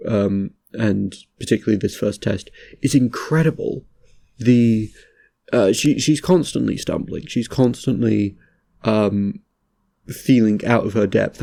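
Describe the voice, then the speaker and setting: A man with a cold, speaking in a stuffy voice as he rambles casually through an anime review, halting with many restarts. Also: fairly low pitch, creaky, mellow, no echo, studio quality. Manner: halting, lots of restarts and fillers.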